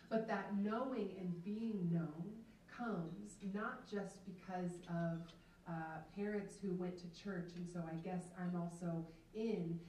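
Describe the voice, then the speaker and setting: A woman's voice: on the low side, mellow, slight background noise. A woman in her thirties from the American South giving an earnest faith testimony as keynote speaker, holding a handheld mic in a large banquet room. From Atlanta, US South. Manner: earnest, emphatic storytelling. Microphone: handheld microphone. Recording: echoey hall, from far off.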